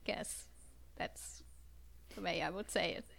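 Woman, non-native English speaker, giggly and casual, very slow delivery with a gravelly fry. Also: fairly low pitch, a bit bright. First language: not English. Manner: giggly, laughs often. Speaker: panelist on a group question-and-answer chat. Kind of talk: casual chat.

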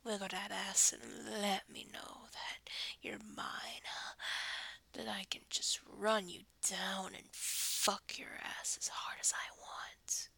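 A woman in an intimate adult roleplay recording, speaking mostly in a breathy whisper with a dominant, commanding manner. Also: medium pitch, level tone, slow pace, bright, forceful tone, poor audio.

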